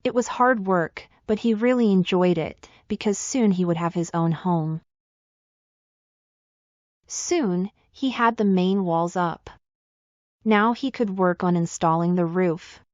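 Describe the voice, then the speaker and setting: A woman's voice: on the low side, takes it slowly, a little mellow, no echo, muffled recording. A woman reading a scripted children's fairy tale as the storyteller.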